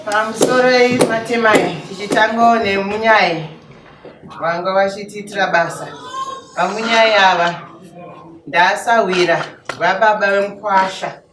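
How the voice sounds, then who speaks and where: A woman's voice: medium pitch, fairly even intonation, unhurried, natural voice. A woman with a Zimbabwean accent playing the groom's aunt, formally and respectfully introducing relatives in a dramatized marriage ceremony. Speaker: groom's family representative. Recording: echoey, distant mic, faint noise.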